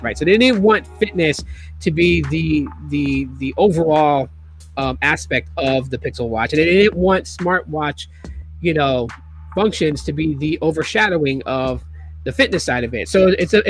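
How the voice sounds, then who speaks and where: A man hosting a casual tech video, talking in a rambling way with many fillers and restarts while he is losing his voice. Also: fairly high pitch.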